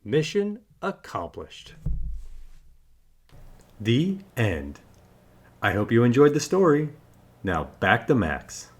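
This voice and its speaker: A man narrating a children's storybook aloud in an animated read-aloud style. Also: mid-range voice, takes it slowly, long pauses, breathy edge. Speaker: storybook reader.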